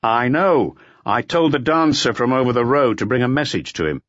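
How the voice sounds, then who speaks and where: A man's voice: mid-range voice, animated, moderate pace, fairly bright tone, dry recording, dull audio, pitched above the performer's own voice, lightly acted. A man voicing a placid, glum detective who puts short, clipped questions in an audiobook. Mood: calm. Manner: terse, clipped questions and orders.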